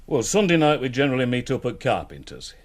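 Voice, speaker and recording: A middle-aged Northern English working bloke in a radio play. His voice is husky and swings widely in pitch as he coaxes and persuades in loose, colloquial talk, hesitating now and then. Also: sing-song, fast talker. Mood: coaxing. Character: Roy, working-class man coaxing a young punter into business. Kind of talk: naturalistic radio drama dialogue.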